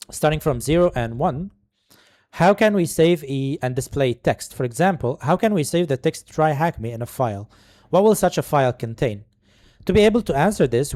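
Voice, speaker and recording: A man's voice: mid-range voice, mellow, dry recording, studio quality. A man whose first language is Arabic, hosting a tech tutorial. He reads the course text aloud in a casual way, adding asides and fillers. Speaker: tutorial host. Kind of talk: screen-recorded tech tutorial.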